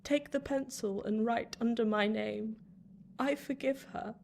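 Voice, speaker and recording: An actress playing a frail, anguished older reclusive lady in a radio play, speaking in a halting, plaintive way. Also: a little high, fairly even intonation, creaky, a little mellow. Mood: remorseful, wandering. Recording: noisy radio play recording.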